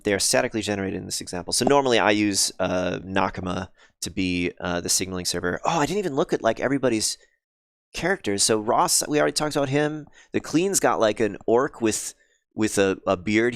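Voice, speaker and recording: Guy on a coding stream, kinda low smooth voice but a bit scratchy n sniffly, lots of ums, dry close mic. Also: no echo. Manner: thinks aloud, many ums and restarts, chats with viewers. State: slightly scratchy voice, sniffly after illness. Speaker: game developer streaming live. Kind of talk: casual live-stream coding chat.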